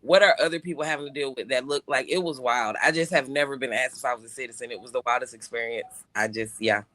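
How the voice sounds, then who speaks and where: A man's voice: mid-range voice, quick pace, bright, forceful tone. A man co-hosting a casual talk show, telling a personal story with lots of restarts and fillers. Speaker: show co-host. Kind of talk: casual podcast chat.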